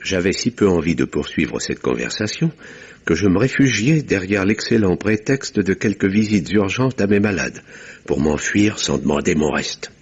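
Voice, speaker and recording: Deep, smooth country doctor. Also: male voice, lively intonation, highs cut off, exaggerated voice. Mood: grave, measured. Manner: measured, literary, formal. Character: weary, reserved male country doctor narrating a murder mystery. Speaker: narrator and lead actor. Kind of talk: French radio mystery drama acting and narration.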